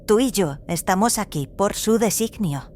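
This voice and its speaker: A woman playing a serene, fervent religious zealot in an audio drama, speaking calmly and solemnly. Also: mid-range voice, measured pace, lightly acted. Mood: serene, devout. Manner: calm, devout, solemn. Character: serene, fervent cloned female zealot from an isolated world. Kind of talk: dramatized audio fiction dialogue in Spanish.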